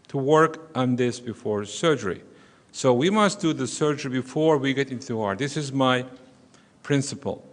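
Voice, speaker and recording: A middle-aged man from Turkey with a Turkish accent, giving a measured, explanatory conference lecture as a surgeon. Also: on the high side. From western Turkey. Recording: long reverb of a conference hall.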